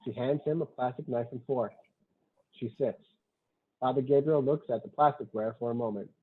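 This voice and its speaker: A man's voice: medium pitch, fairly even intonation, normal speed, slightly rough. A man narrating a screenplay's stage directions in a plain, matter-of-fact way. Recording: muffled, dull lo-fi sound.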